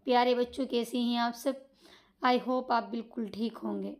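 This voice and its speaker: A woman's voice: fairly high pitch, steady tone, normal speed, a little mellow. A woman teaching children Arabic grammar, explaining patiently and checking they follow. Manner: patient, repeats points with checking questions. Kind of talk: online grammar lesson for children.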